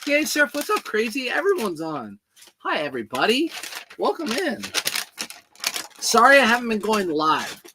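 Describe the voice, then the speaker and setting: A man's voice: extremely high pitch, wildly swooping pitch, moderate pace, pauses often, noisy background. A man in his thirties hosts a casual livestream, packing orders and chatting with viewers in a joking, talkative way. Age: 30s. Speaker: reseller hosting a livestream.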